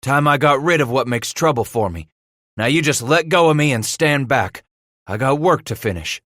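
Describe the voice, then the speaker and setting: A man's voice: medium pitch, animated, normal speed, fairly bright tone, dry recording, studio quality, voice pushed up, natural voice. A man voicing a big, hot-tempered frontier trapper in an audiobook, growling in rustic dialect. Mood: angry, menacing.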